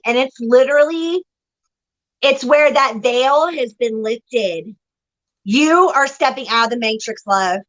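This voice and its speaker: High-pitched texan lady doing psychic readings, gushing and preachy. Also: fairly bright tone, poor audio. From Texas. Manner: effusive, exclamatory, preachy new-age delivery. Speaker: psychic reader. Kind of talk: live spiritual reading.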